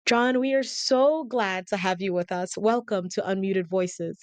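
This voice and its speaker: A woman speaks as a podcast host in a polished, articulate way, reading prepared introductions and questions. Her voice sits at a middle pitch, and the sound is close and dry. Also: unhurried, a little mellow, clean tone, dry recording. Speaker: podcast host, communication coach. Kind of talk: scripted podcast introduction and interviewing.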